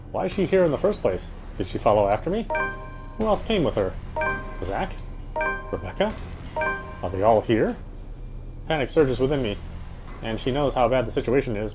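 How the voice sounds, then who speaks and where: A man with a medium-pitched voice reads horror visual novel narration aloud. His delivery is dramatic and tense, told in the first person, with faint music behind him. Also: darkish tone. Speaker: narrator reading a game aloud.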